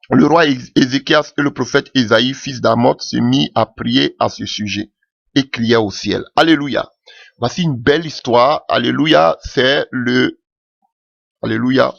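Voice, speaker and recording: A man's voice: vocal fry, a bit bright, no room sound, dull audio. A man preaching a sermon with passion, calling out alleluia often as his voice rises. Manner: impassioned, rising pitch with frequent alleluias.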